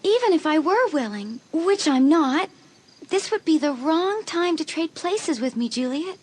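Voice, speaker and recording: A woman's voice: high voice, sing-song, unhurried, darkish tone. A woman voicing a fifteen-year-old girl who sounds nervous and wary.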